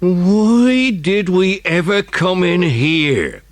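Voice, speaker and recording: A pompous village policeman for a dramatised children's story. The voice is pushed well up in pitch and swings widely. He is a blustering, bullying sort, hot and exasperated, recorded close and dry. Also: lively intonation, drawn-out words, no echo, heavily acted. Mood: exasperated groaning. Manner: blustering, shouting, grumbling.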